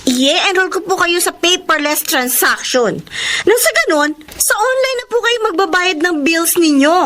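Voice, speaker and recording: An actress playing a polite, sensible young niece in a Filipino radio drama, earnest and helpful, with a Filipino accent. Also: female voice, very high-pitched, lively intonation, unhurried, fairly bright tone, exaggerated voice. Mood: reassuring. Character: respectful, sensible young niece, eager to soothe and help.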